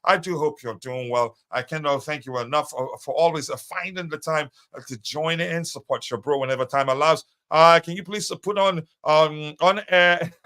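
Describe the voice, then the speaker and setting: A man's voice: on the high side, normal speed, sharp tone. A man hosting a live fan show, chatting casually with many fillers and greeting viewers by name. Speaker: host of a live fan stream. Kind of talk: casual live-stream chat.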